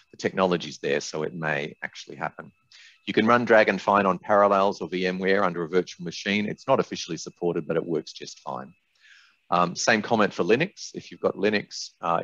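A man's voice: low-pitched, fairly bright tone. An older man gives an explanatory webinar presentation in an informal, candid way. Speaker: software company presenter. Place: indoors, small room on video call. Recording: slightly dull, roomy webinar audio.

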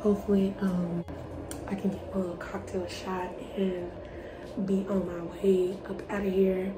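A young woman, chatting casually to her viewers in a vlog, congested and hoarse from a cold. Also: medium pitch, flat delivery, moderate pace, noisy background. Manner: chatty, addresses viewers as y'all. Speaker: vlogger. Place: parked car.

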